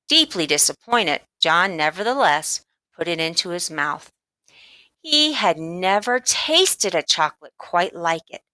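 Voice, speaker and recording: A woman reading a children's book aloud as expressive, deliberate scripted narration. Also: mid-range voice, dry recording, low-quality recording. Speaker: storybook reader.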